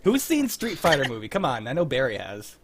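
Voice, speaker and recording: A man's voice: mid-range voice, wildly swooping pitch, brisk, very noisy. A man hosting a casual group chat in a joking, rambling, freely swearing way. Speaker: host of a livestreamed script-reading show.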